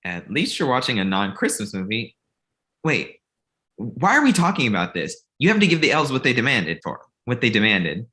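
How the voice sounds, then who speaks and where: A man's voice: mid-range voice, big pitch swings, normal speed, bright, forceful tone. A man playing an earnest, exasperated male manager in a comedy table read, urgent and conversational.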